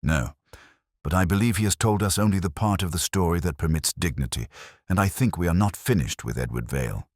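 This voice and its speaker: A man voicing a shrewd, composed English detective in a story, speaking in a measured, probing way. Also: sits low, animated, darkish tone, no room sound, studio quality. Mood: resolute, brooding.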